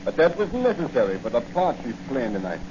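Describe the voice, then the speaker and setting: A man's voice: medium pitch, sing-song, brisk, lightly acted. Curt, sardonic male racketeer played by an actor. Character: cool cynical male racketeer giving orders, coldly taunting. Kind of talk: 1940s radio acting. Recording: muffled noisy 1940 radio recording.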